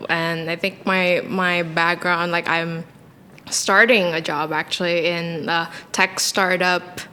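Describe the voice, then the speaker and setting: A woman's voice: lowish voice, little pitch movement, slow pace, sharp tone, in a large hall, slight background noise. A young woman, a guest on a podcast, answering casually in short, matter-of-fact phrases. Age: young adult.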